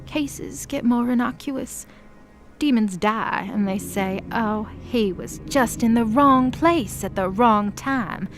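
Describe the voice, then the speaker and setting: A woman's voice: a little high, slow pace. A woman playing an embittered, defiant demon of hell in an audio drama, speaking with passion and slangy sarcasm. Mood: impassioned, outraged. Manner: impassioned, sarcastic, casual slangy speech. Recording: prominent music under the voice.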